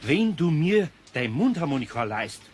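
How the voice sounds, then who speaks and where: A man's voice: fairly high pitch, sing-song, normal speed. An actor playing a good-hearted, grumbling older craftsman in a children's radio play, speaking colloquially with a Bavarian accent. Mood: reasoning, soothing. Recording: noisy old cassette audio.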